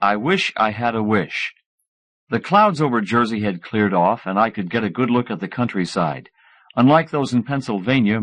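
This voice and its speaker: A man reading scripted fiction as an audiobook narrator, in an expressive way. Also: lowish voice. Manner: expressive, voices characters in dialogue.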